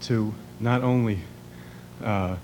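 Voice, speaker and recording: A man out of breath, giving halting, thoughtful answers as a guest in a conversational interview. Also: lowish voice, fairly even intonation, a little mellow. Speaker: guest, mime performer. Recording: noisy recording with hum.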